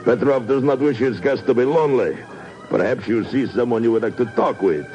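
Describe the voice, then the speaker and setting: Actor as oily club owner, old radio. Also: male voice, medium pitch, a little fast, dark tone, close to an everyday voice. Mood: oily, welcoming. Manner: oily, effusive, chuckling. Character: unctuous foreign nightclub proprietor, speaks of himself grandly. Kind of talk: 1950s radio drama acting. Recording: muffled 1950s radio recording with music.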